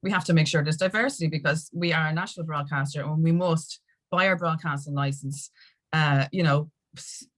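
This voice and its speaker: A woman's voice: deep voice, steady tone, a little fast, fairly bright tone. An Irish woman telling her story as a podcast guest in casual conversation, acting out what people said as she goes. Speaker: podcast guest, music industry activist.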